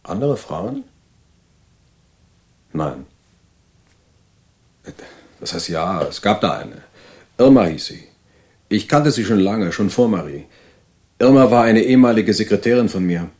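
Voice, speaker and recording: Evasive husband in audio drama, lowish voice, halting, keeps excusing himself, echoey room. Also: male voice, lively intonation, a little mellow. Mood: defensive. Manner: halting, defensive, self-justifying. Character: evasive self-justifying husband, an asylum inmate. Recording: roomy, echoing interior.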